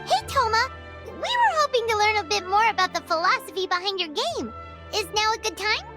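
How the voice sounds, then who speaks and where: A woman voicing a tiny, chatty, self-assured fairy girl companion with a squeaky childlike voice in an anime game. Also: big pitch swings, brisk. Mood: thoughtful, eager. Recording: game audio with faint music.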